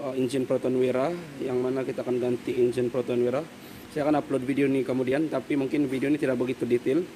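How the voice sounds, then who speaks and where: Man, 30s, Malaysian accent, mid-pitched voice, workshop mechanic, phone mic, clear workshop noise behind. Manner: plain, step-by-step explaining with fillers. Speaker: car air-conditioning mechanic. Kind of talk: casual how-to repair vlog. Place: car repair workshop, indoors. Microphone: handheld phone camera. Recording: noisy workshop background.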